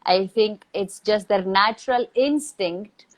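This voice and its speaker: A woman who is not a native English speaker, giving reflective advice in a thoughtful, measured way with deliberate pauses. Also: medium pitch. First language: not English or Hindi. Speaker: acting teacher, interview guest. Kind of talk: reflective advice in a live online Q&A.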